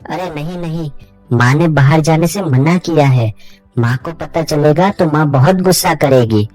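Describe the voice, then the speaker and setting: A woman's voice: deep voice, normal speed, darkish tone. A woman playing naughty young brothers in a children's storybook fable. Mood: curious. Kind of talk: scripted children's story acting. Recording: dry studio voice over music.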